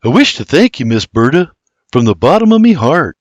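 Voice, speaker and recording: A man's voice: medium pitch, big pitch swings, fairly quick, no room sound, lo-fi sound. A man voicing a shy, humble young Irish farmhand with an Irish accent who speaks earnestly and stammers.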